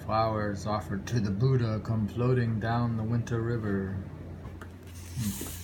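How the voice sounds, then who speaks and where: Male poetry reader, unhurried and musing, casual asides between haiku, audible noise on a homemade recording. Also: lowish voice, level tone. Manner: deliberate, pausing, musing between poems.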